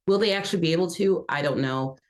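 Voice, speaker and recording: A woman's voice: lowish voice, quick pace. A woman giving assertive, articulate legal commentary as an analyst on a panel. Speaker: legal analyst, defense perspective.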